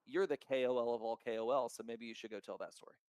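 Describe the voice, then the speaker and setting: A loud, outspoken man talks bluntly in a casual chat and swears freely. His voice sits in an ordinary middle pitch. The sound is dry and close, with no room echo. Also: steady tone, quick pace, clear, resonant tone. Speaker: crypto token founder.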